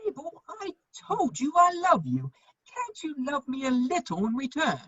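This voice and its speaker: A woman playing a charming, lovestruck gentleman in his thirties in a comedy of manners, witty and earnestly pleading, on a muffled recording. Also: sits high, wildly swooping pitch, normal speed, no room sound, full character voice. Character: foppish, lovestruck gentleman just over thirty, charming wit. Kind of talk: stage comedy of manners, dramatic reading.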